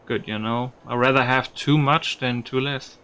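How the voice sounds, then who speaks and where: A man's voice: medium pitch, fairly even intonation, normal speed, sharp tone, clear, resonant tone. A man giving casual video game commentary, musing aloud with some mild swearing. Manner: musing aloud, mildly profane.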